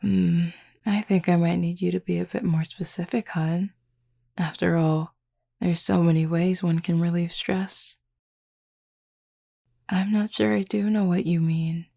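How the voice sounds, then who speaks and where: Woman playing a gravelly, husky flirty werewolf woman. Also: lowish voice, flat delivery, unhurried, pauses often, dark tone. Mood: teasing, flirty. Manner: teasing, drawling, playfully commanding. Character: flirty, teasing, confident female werewolf lover. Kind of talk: intimate audio roleplay acting.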